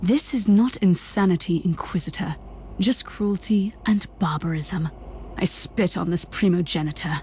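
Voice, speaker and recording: A woman's voice: lowish voice, moderate pace, warm tone, slight background noise, natural voice. An actress playing a resolute, fatalistic warrior woman with an intense, solemn manner in a video game cutscene. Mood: cold vengeance.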